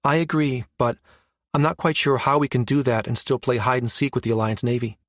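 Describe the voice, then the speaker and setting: A man voicing a brisk, sardonic, exasperated woman space operative with informal, slangy speech in a science fiction audiobook. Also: medium pitch, fairly even intonation, dry recording, clean studio sound, close to an everyday voice.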